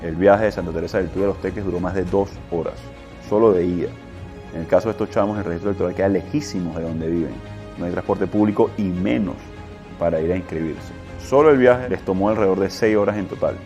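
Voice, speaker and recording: Male reporter, very low voice, measured report narration, light music bed. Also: dark tone, muffled recording. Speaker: field reporter.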